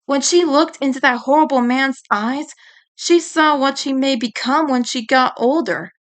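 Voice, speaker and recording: A woman's voice: high-pitched, moderate pace. A woman reading a story aloud as dramatic audiobook narration. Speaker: audiobook reader.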